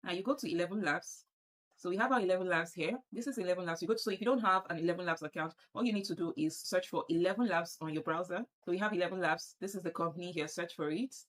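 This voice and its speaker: A Nigerian woman with a Nigerian accent walking viewers through a software setup on screen, often repeating herself as she clicks. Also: on the low side, fast talker. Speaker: tutorial presenter. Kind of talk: screen-share software tutorial.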